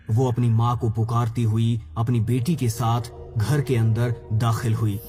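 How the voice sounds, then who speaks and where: A man's voice: mid-range voice, a little mellow. A man delivering scripted narration for a dramatic horror story. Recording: music under the voice.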